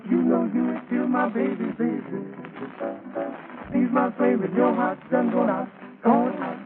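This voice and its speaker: A man's voice: extremely high pitch, fairly even intonation, measured pace, music in the background. A man singing a swing novelty number in 1940s style on an old radio broadcast. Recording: tinny 1940s radio recording.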